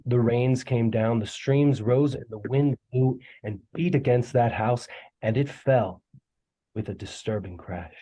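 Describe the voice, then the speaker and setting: A man acting a creepy mysterious stranger who preaches doom solemnly in a husky voice, reading a horror script. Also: medium pitch, warm tone, close to an everyday voice, rough recording. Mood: solemn, menacing. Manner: slow, solemn, scripture-quoting delivery. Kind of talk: table read of a horror script.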